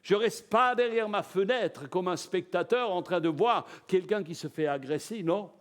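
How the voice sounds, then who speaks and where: A man preaching a live church sermon, lively, asking the congregation rhetorical questions. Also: high voice, moderate pace. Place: church service before a congregation.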